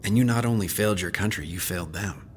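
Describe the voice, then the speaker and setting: A man playing a stern older senior army officer, measured and commanding, in an audio drama. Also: sits low, a little hiss or hum, close to an everyday voice. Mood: cold, reproachful.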